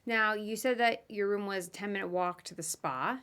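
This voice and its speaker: Bright-voiced woman hosting a podcast. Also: mid-range voice, normal speed. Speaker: podcast host. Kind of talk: conversational podcast interview.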